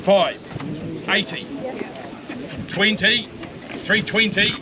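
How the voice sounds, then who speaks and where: High-voiced New Zealand auctioneer chanting bids. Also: male voice. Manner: rapid repetitive chanting of bids, coaxing the buyers. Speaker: livestock auctioneer. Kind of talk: auction bid calling. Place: outdoor livestock saleyard. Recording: loud crowd and livestock noise at an outdoor sale.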